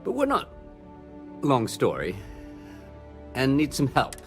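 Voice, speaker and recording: Animated, sardonic officer. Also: male voice, mid-range voice, takes it slowly, soft background music, heavily acted. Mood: wry, grudging. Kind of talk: video game dialogue.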